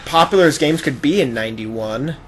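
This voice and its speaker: A man hosts a casual gaming podcast over faint noise and game sound. He has a mid-pitched voice and explains things in a rambling way, slipping into imagined quotes. The recording feels amateur. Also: lively intonation, fairly quick. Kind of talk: casual chat while playing a video game. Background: video game sound.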